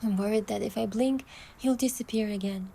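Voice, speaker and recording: A young woman gently narrating a romantic story in the first person as its heroine, in a soft, hushed voice. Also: medium pitch, brisk, creaky, slight background noise.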